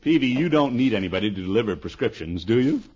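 Blustery, swooping middle-aged uncle on old radio. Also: male voice, mid-range voice, animated, fast talker, darkish tone, husky. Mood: fussy, exasperated. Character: pompous, blustery middle-aged uncle, a town water commissioner. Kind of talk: 1950s radio situation comedy acting. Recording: dull, noisy old radio recording.

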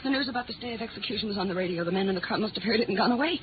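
An actress playing a scared young nightclub singer in a 1950s radio drama, halting and anxious, repeating herself, on a scratchy old recording. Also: female voice, mid-range voice, narrow, tinny audio, natural voice. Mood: anxious, defensive. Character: frightened young female nightclub singer, panicky and pleading.

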